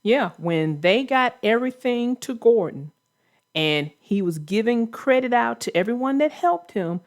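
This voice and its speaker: Unhurried, higher-pitched woman hosting children's story chat. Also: lively intonation. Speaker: host and children's book narrator. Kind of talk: casual discussion of a children's story for young listeners.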